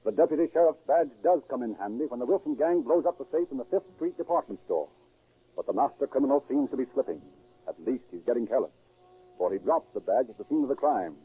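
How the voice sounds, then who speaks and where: Fast-talking 1930s radio crime narrator, man. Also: medium pitch, animated, slightly rough. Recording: dull, muffled 1930s radio recording.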